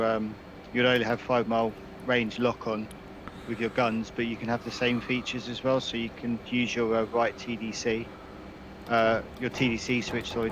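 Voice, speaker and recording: Flat-voiced man over noisy chat. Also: mid-range voice. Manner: flat, swears when frustrated. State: losing his voice. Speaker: flight sim player. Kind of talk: casual gaming chat. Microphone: headset.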